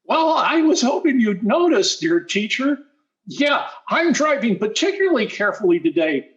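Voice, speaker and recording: A man's voice: very high-pitched, very animated, moderate pace, fairly bright tone, muffled recording, exaggerated voice. A man playing a wheedling teenage schoolboy in old-time radio sitcom style, stammering and mock-modest. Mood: eager hinting. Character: wheedling teenage schoolboy, eager, guileless-sounding schemer.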